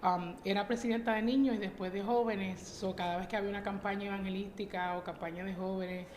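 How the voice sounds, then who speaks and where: A woman's voice: mid-range voice, fairly even intonation, fairly quick, a little mellow. A woman from the United States, speaking as an interview guest in casual, testimonial conversation. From Connecticut, United States. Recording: echoey live venue, light background noise.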